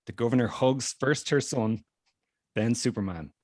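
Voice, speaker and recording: Man, script narrator, mid pitch, dry sound, reading stage directions, table read. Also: unhurried, darkish tone, no room sound.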